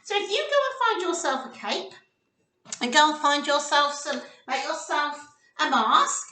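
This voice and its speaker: A woman presenting storytime for young children, reading interactively and posing animated questions to her little listeners. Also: sits high, measured pace. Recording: roomy echo, distant microphone.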